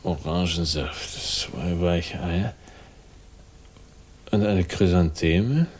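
An actor playing a battered, wry crime-story hero in a dry, terse, ironic manner, in 1960s radio drama style. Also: male voice, low voice, extremely slow, a little hiss or hum.

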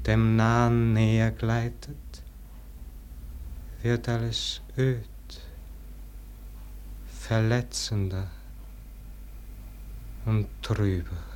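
A man's voice: medium pitch, a little mellow, a little hiss or hum. An actor playing a melancholy, yearning nobleman in a classic radio verse drama, declaiming lyrically in standard German stage diction. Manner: solemn, lyrical, drawn-out declamation.

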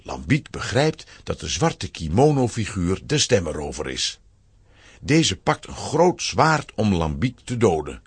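A man narrating a scripted children's audio play as an expressive storyteller. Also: sits low, unhurried, slightly rough, dry recording.

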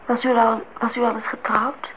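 A woman's voice: fairly high pitch, flat delivery, normal speed, close to an everyday voice. An actress playing an earnest, questioning eighteen-year-old girl in a Dutch radio play, talking in short, probing phrases. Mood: quiet, probing. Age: young adult. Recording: dull, noisy old radio play recording.

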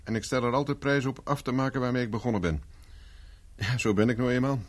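An actor playing a persistent private investigator in a 1970s radio drama, speaking dry and insistent. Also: male voice, medium pitch, fairly even intonation, quick pace, lightly acted. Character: dogged male private investigator, cool and persistent. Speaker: private detective Carver.

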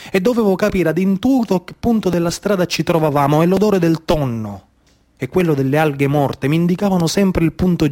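A man's voice: fairly high pitch, a little mellow. A man with a Sicilian accent performing a theatrical narrative monologue, declamatory and incantatory, with repeated phrases and dialect. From Sicily, Italy. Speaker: storyteller, stage actor.

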